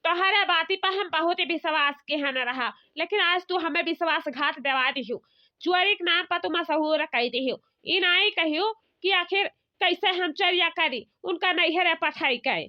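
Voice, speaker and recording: A woman's voice: very high voice, moderate pace, muffled recording. A woman voicing a bossy, aggrieved village matriarch who scolds at length in an Awadhi accent, in a comedy cartoon. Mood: indignant. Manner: indignant, long-winded scolding.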